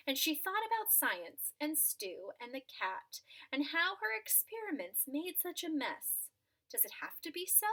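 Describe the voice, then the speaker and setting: A woman narrates a children's storybook in a high, bright voice. Her pacing is slow and her intonation swings widely, with dramatic asides to young listeners.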